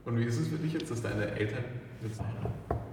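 A man's voice: medium pitch, brisk, noisy background. A man asking plain, prompting questions as a documentary interviewer. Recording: roomy echo.

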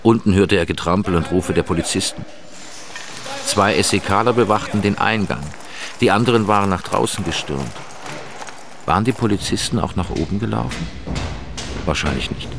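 A man's voice: sits low, normal speed, creaky, long pauses, background noise. A man narrating a scripted German audio drama over music.

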